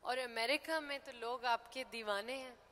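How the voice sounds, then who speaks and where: A young woman actress hosting on stage, introducing a guest slowly and deliberately while mixing Urdu with English. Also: fairly high pitch, sharp tone. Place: hotel ballroom stage. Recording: reverberant hall.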